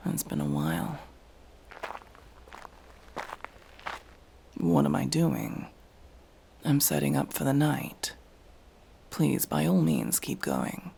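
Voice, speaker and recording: A man's voice: fairly high pitch, creaky, husky, full character voice. A man playing an aloof, prickly elf mercenary in a fantasy ASMR roleplay, speaking in a curt, sardonic, exasperated manner. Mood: weary, exasperated. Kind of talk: ASMR fantasy roleplay, acted monologue to listener.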